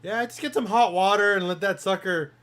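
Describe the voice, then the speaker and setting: A man in his thirties, hosting a casual question-and-answer chat, talking in a relaxed, rambling way and laughing often. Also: high voice, brisk. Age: 30s. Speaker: garage channel host.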